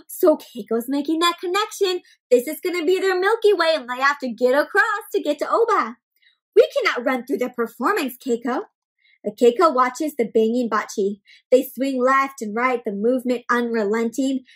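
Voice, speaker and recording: A woman's voice: sits high, a bit bright. A young woman hosting an interactive children's read-aloud, speaking expressively and putting questions to her young listeners. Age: young adult. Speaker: children's story time host and reader.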